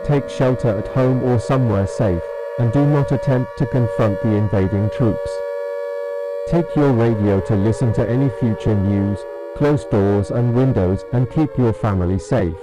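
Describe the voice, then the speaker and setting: A man playing a solemn emergency alert announcer in a scripted broadcast, speaking in a measured, formal manner. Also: mid-range voice, loud music behind the voice, natural voice, poor audio. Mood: grave, calm.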